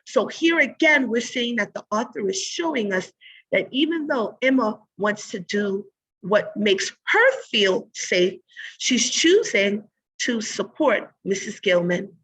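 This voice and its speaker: A woman teacher giving a read-aloud lesson in an instructive way, pausing to think aloud and pose guiding questions. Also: medium pitch, lively intonation, fairly bright tone, low-quality recording.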